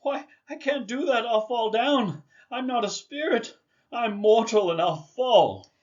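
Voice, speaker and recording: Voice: mid-range voice, lively intonation, measured pace, dull audio, natural voice. An actor playing a frightened, regretful old man in a radio drama, stammering and exclaiming with emotion. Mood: panicked, pleading. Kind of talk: family radio drama acting.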